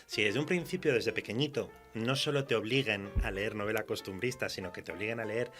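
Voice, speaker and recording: A man's voice: fairly low pitch, fairly quick, a bit bright, soft background music. A man with a Spanish accent from Spain, chatting informally and with firm opinions as a guest writer on a radio interview. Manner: informal, laughs often, opinionated. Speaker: guest writer of horror fiction. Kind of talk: casual chat in a radio interview.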